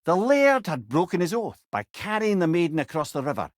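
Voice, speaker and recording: A man's voice: high voice, very animated, fairly quick, dry recording, clean studio sound. An actor playing a wise, witty old Scottish man, an avuncular storytelling companion in a video game, speaking in an articulate, wry way. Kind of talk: scripted video game voice lines.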